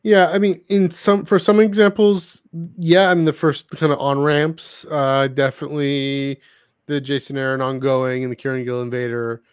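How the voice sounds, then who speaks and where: A man hosting a podcast in casual conversation, talking in a halting, rambling way and often repeating his words. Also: mid-range voice, level tone, clean tone, dry recording, studio quality. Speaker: podcast host. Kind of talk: casual chat, discussing books and comics.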